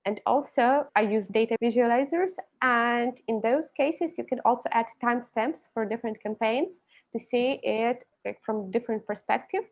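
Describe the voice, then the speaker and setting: A woman's voice: a little high, moderate pace. A young woman with a Ukrainian accent, a guest expert on a video call interview, explaining her approach with light laughs mid-sentence. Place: indoor room with a large plant. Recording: slightly dull video call audio.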